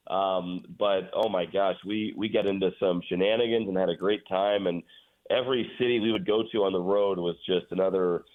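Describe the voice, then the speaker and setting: A man chatting casually as a guest sports announcer in an interview, over a phone line. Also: fairly low pitch. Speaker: guest, radio play-by-play announcer for a pro hockey team. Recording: phone-like narrow band.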